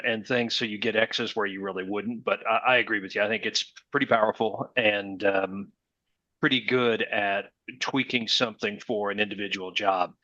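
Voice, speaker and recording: Man, forceful bright tone, agreeable, casual, dry slightly muffled call audio. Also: fairly low pitch, dry recording. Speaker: group participant. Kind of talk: casual discussion of own experience. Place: online video call.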